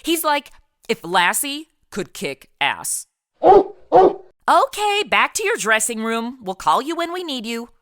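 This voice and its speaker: Animated, sarcastic woman storyteller. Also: a little high, a little slow, dry recording, studio quality. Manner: sarcastic, cheeky. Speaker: comedy podcast host and narrator. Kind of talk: conversational comedic narration and acting.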